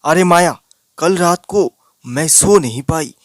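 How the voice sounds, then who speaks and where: A man's voice: fairly high pitch, slow pace, dry recording. A man playing an earnest, stubbornly brave young villager in a cartoon horror story. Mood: insistent.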